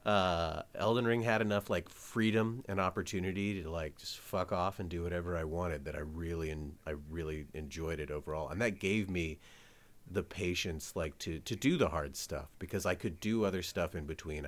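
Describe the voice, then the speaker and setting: A man's voice: deep voice, moderate pace. A man hosting a podcast, chatting casually and jokily, swearing now and then. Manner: laid-back, jokey, swears casually. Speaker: podcast host. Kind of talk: casual chat.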